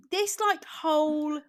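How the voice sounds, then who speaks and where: A woman in her thirties co-hosting a casual chat podcast, animated, laughing often and swearing freely. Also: high voice. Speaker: podcast co-host.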